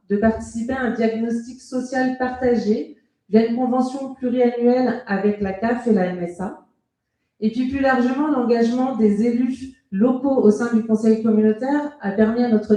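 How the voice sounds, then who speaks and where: A woman speaks as a local councillor at a public meeting. Her voice sits a little high but stays dark and gentle in tone. She delivers prepared remarks in a formal, measured way, and the room around her is strongly echoing. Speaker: local councillor giving a campaign speech. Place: indoor hall at a public meeting.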